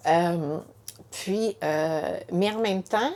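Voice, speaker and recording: Halting middle-aged Quebec woman. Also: fairly low pitch, darkish tone. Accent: Quebec French. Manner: halting, repeats words, many fillers. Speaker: mother telling her story. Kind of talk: emotional personal testimony in conversation.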